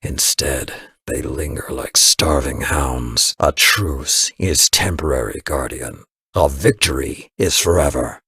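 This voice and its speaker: A stern old war veteran from a video game. His voice is extremely deep and very hoarse, recorded dry. He speaks gravely, with embittered reproach and force, as a mentor scolding. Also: slow, pushed and bright, dry recording, exaggerated voice. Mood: bitter reproach. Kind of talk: dramatic video game voice acting.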